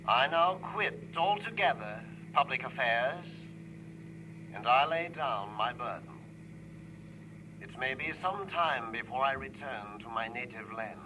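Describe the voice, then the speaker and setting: A man's voice: mid-range voice, animated. Man as solemn British king, noisy old broadcast. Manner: solemn, measured. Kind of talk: formal 1930s radio address.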